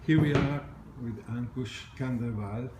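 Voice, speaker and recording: A man's voice: medium pitch, a little slow. A man interviewing a guest at an event, with hesitant, non-native phrasing and short prompts. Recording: roomy echo, faint noise.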